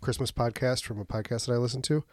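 A man's voice: mid-range voice, fast, no room sound, clean studio sound. A man co-hosting a podcast with a chest cold, recapping a movie in a joking, chatty way. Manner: joking, lively recaps with acted-out quotes. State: congested, slightly wheezy. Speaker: podcast co-host. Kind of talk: casual movie recap chat.

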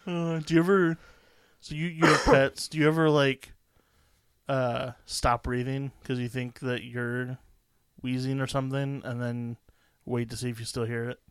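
A man's voice: medium pitch, level tone, moderate pace, clear, resonant tone, no echo, clean studio sound. A man co-hosting a podcast, chatting casually about a movie in a laid-back, rambling way. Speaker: podcast co-host. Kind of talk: casual movie review chat.

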